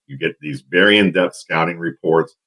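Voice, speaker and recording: Man, middle-aged, very deep baritone, husky, bright forceful edge, easygoing podcast guest. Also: normal speed. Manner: deep baritone, relaxed storytelling. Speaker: guest draft analyst. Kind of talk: casual podcast interview.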